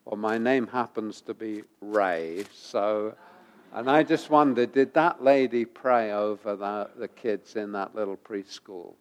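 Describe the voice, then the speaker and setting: A man preaching a sermon while he has a head cold, his voice gravelly, pausing often with ums and uhs and repeating phrases for emphasis. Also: on the low side. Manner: halting, many ums and uhs. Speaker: pastor.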